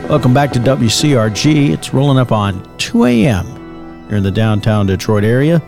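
An actor playing a folksy late-night paranormal radio host with easy patter and a mildly skeptical manner. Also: male voice, mid-range voice, very animated, moderate pace, gravelly, a little mellow, faint music behind. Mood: inviting.

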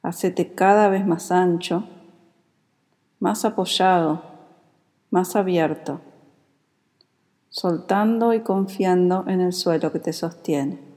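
A woman with a Rioplatense Spanish accent guides a meditation in a calm, soothing, unhurried manner. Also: lowish voice. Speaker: yoga nidra meditation guide. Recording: long reverberant hall-like echo.

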